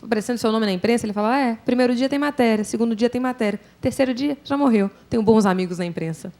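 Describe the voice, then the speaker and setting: A Brazilian woman journalist speaking informally on a panel, thinking aloud haltingly and often adding tag questions. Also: on the high side, dark tone. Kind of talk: panel discussion, informal opinion.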